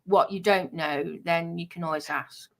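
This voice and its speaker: A woman chatting casually in a halting, restrained way. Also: on the low side, fairly even intonation. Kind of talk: casual chat.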